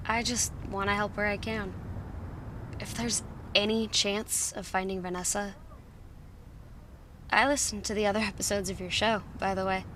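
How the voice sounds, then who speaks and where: A woman playing a soft-spoken helpful contact. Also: mid-range voice, flat delivery, normal speed, pauses often, a little hiss or hum, close to an everyday voice. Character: helpful young woman who set up an interview.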